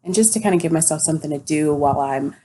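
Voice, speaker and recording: A woman in her twenties co-hosting a casual podcast, upbeat and quick to laugh, with a groggy morning voice. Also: sits low, warm tone, slight background noise. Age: 20s. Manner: upbeat, laughs easily, repeats herself. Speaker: podcast co-host. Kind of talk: casual chat.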